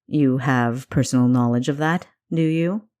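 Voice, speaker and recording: Casting: a woman playing a stern male spymaster for a fantasy audiobook, low-voiced, clipped and commanding. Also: unhurried, dark tone, smooth. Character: gruff older male spymaster, stern and teasing.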